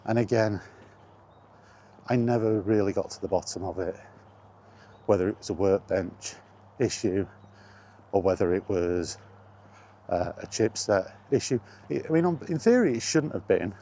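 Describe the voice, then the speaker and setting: A man's voice: on the low side, expressive, a little slow, pauses often, mellow, a little hiss or hum. A man talking in a casual, rambling monologue, reminiscing and thinking aloud as he goes. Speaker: host of a gaming chat vlog.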